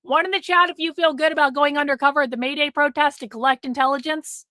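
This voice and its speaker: A woman's voice: high-pitched, fairly even intonation, quick pace, bright, forceful tone, no echo. A middle-aged woman hosting a livestream, talking bluntly to her chat, swearing freely and repeating herself for emphasis while losing her voice. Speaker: livestream host. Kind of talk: casual livestream talk to chat.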